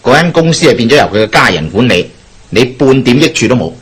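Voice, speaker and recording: Radio drama plotter: pragmatic, cynical, raspy, medium register, Hong Kong Cantonese accent. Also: male voice, mid-range voice, very animated, quick pace, fairly bright tone. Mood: cynical, scheming. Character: cynical, pragmatic schemer, a sacked employee.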